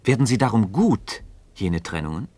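An actor playing a calm, thoughtful philosopher and evasive mentor in a radio play, speaking in deliberate, rhetorical literary diction. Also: male voice, on the low side, husky, no room sound. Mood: earnest, persuasive.

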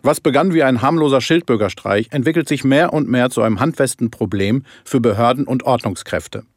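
A man's voice: medium pitch, fairly quick, no room sound, clean studio sound, lightly acted. A man playing a sober, formal television news anchor, reading a scripted bulletin in a satirical radio play.

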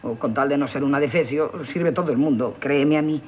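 An actress with a Castilian accent playing a bold, scheming actress who poses as a haughty countess in a theatrical radio comedy. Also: female voice, low voice, fairly quick, a little mellow, dull audio, lightly acted. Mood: persuasive, urging. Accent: Castilian Spanish. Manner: theatrical, persuasive, swooping delivery. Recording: 1970s radio recording with noise.

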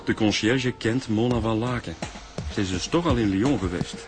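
An actor playing a cheeky, observant police inspector with a Flemish accent, casual and wry, in a radio drama. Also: male voice, fairly low pitch, normal speed, over loud music, close to an everyday voice. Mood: intrigued. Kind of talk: naturalistic radio drama acting.

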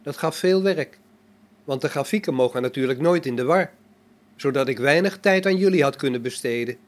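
An amateur actor in a radio play as a calm, pious robot, a man speaking in a measured, solemn, preachy manner. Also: medium pitch, lightly acted. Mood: polite, serene.

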